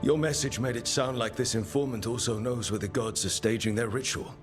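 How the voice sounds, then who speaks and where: Male game hero, actor in role: mid-pitched, understated, supportive leader, faint music underneath. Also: fairly even intonation, a little fast, natural voice. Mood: businesslike. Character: supportive, level-headed male adventuring leader. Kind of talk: video game dialogue acting.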